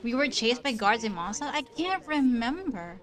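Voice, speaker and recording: A woman's voice: fairly high pitch, sing-song, moderate pace. A woman streamer casually commenting on a game story, chatty and laughing at the plot. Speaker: gaming streamer. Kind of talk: casual commentary over gameplay. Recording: voice over game audio and music.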